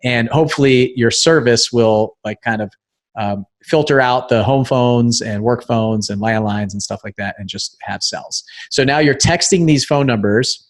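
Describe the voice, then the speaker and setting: A man's voice: medium pitch, normal speed. A man hosting an interview, explaining and asking questions in casual conversational talk. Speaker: podcast host.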